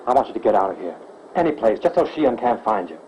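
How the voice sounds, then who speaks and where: An actor playing a devoted, earnest young male sleuth who jokes gently, in a 1930s film. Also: fairly low pitch, sing-song, fast talker, muffled recording. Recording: old film soundtrack with faint hiss.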